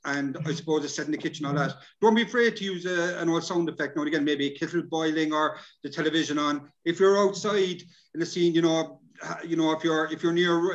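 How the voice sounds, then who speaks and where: A middle-aged Irish man giving an informal, chatty workshop talk over a video call, often adding "you know". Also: fairly high pitch. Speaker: workshop presenter. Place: indoors, plain room on video call. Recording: dull lo-fi video call audio.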